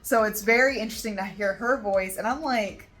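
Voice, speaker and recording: A woman's voice: medium pitch, a little fast, fairly bright tone, rough recording. A woman co-hosting a vlog, talking earnestly and emphatically, often restarting her sentences. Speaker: vlog co-host. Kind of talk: casual chat.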